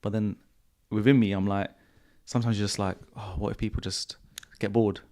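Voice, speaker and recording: A man hosting a podcast in a casual, relaxed way, with a croaky voice and slangy, repetitive phrasing. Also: fairly low pitch, a little fast. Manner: relaxed, repeats himself, slangy. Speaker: podcast host. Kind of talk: casual podcast chat answering viewer questions. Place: home studio.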